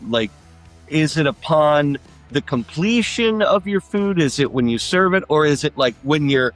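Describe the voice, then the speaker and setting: A man co-hosting a casual interview, asking questions in a halting way as he searches for words. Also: medium pitch, a bit bright.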